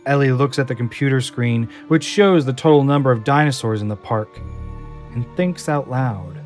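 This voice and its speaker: Guy narrating an audio drama, medium pitch, scripted storytelling, music playing behind. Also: normal speed, darkish tone.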